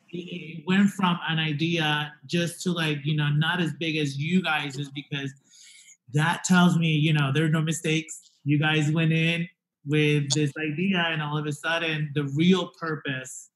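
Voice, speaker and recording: A man in his forties from Puerto Rico hosting a casual interview over a video call, talking with an animated, expressive manner from his living room into a desk mic. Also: on the high side, poor audio. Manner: animated, gestures with hands while talking. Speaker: talk show host. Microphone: desk podcast mic on video call.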